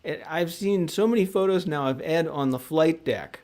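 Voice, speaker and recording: A man's voice: on the high side, normal speed, darkish tone. A man co-hosting a casual chat show, laughing often and dropping jokey asides.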